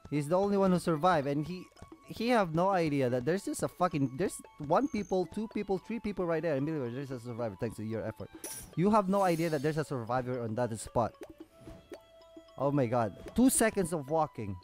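Higher-voiced man, exasperated gaming commentary. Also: lively intonation, brisk, darkish tone. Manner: swearing, exasperated, sarcastic. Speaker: gaming video host. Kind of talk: casual off-the-cuff gameplay commentary. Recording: music behind the voice.

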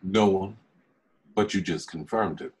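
A man reading the part of a smooth, mysterious, smirking online flirt in a film table read, deep-voiced, terse and probing. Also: unhurried, a little mellow.

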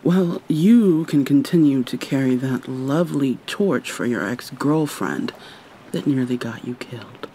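A woman's voice: very deep voice, darkish tone, a little hiss or hum, full character voice. A woman playing an irritable, haughty but secretly caring vampire mermaid in an ASMR roleplay, sardonic and formal with exasperated sighs. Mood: sardonic anger.

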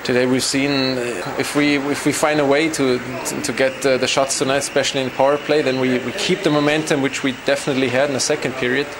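A young man, a team captain, giving a halting post-game interview in an arena corridor. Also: mid-range voice, level tone, background noise. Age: young adult. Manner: halting, repeating words as he searches. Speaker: ice hockey team captain. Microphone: handheld interview mic.

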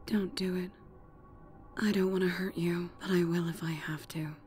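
A woman's voice: lowish voice, fairly even intonation, moderate pace, a little mellow, lightly acted. A woman playing a tough, guarded secret operative in an audiobook, with curt, clipped delivery. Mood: resolute. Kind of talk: acted audiobook dialogue over music.

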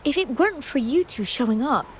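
A young adult actress voicing a gentle, anxious young woman in a game cutscene, speaking hesitantly with small stammers. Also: high-pitched, expressive, fairly quick, slight background noise, rough recording. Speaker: game character.

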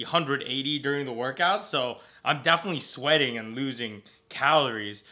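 Bright-voiced American man vlogging. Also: mid-range voice. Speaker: vlogger, host. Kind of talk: casual vlog chat.

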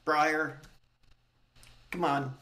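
Slow-talking older man in an echoey room. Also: a little high, fairly even intonation, sharp tone. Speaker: host of a poetry gathering. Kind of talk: casual hosting and unhurried poem reading. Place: indoor room at home, on a video call. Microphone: computer mic on a video call. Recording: echoey room, clear noise, clicks.

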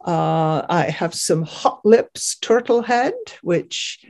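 Older woman, gardener presenting slides on a video call. Slow, chatty, hesitant, informal delivery. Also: lowish voice, creaky, darkish tone. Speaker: gardener giving a garden tour. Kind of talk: informal narration of a photo slideshow. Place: at home indoors, on a video call. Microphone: computer microphone on video call.

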